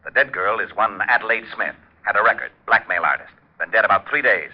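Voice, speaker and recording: An actor playing a gruff, easily exasperated police officer, brusque and matter-of-fact, in an old-time radio drama. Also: male voice, on the low side, lively intonation, fairly quick, highs cut off.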